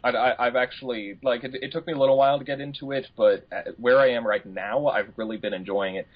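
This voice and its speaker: A man's voice: medium pitch, fairly even intonation, fast. A man co-hosting a casual podcast chat, rambling and easygoing as he gives his opinions. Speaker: podcast co-host. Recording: dull, lo-fi podcast audio.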